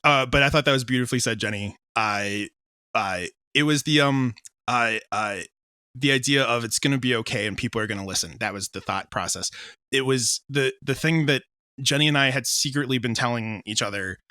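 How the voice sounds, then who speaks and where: A man's voice: medium pitch, fairly quick, sharp tone, no room sound, studio quality. A man giving informal, rambling answers in a casual interview, often interrupting himself as a creator discussing his work. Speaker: audio drama writer and director being interviewed.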